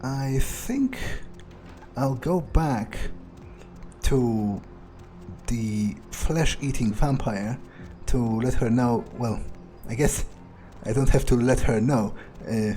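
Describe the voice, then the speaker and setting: A man's voice: medium pitch, slow pace, dark tone, a little hiss or hum. A man musing in character as he plays through a game, hesitantly thinking out his next steps. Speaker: gamer playing in character.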